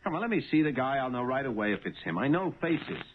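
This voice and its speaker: An actor playing an excitable, stubborn cab driver and husband in a 1970s radio drama, stammering and insistent. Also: male voice, medium pitch, fast talker. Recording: noisy narrow-band old radio broadcast.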